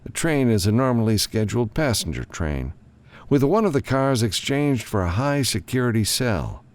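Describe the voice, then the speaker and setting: A man's voice: medium pitch, very animated, moderate pace, a little mellow, pitched above the performer's own voice, lightly acted. Man voicing a grim government lawman, swooping emphatic delivery. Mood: businesslike, firm. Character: tense, grim government lawman, commanding and frank.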